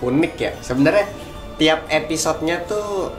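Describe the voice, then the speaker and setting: A man's voice: a little high, animated, normal speed. A man talking casually and in slang, as in an informal interview. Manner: informal, colloquial Jakarta slang. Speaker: audio drama producer. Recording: roomy echo, music behind.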